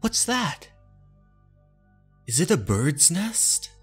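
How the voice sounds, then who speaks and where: A frightened teenage girl performed by a man for a horror manga dub. The voice sits high above the performer's own and sounds breathy, anxious and pleading. Faint music plays behind. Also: big pitch swings, extremely slow, fairly bright tone, full character voice. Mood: uneasy, startled.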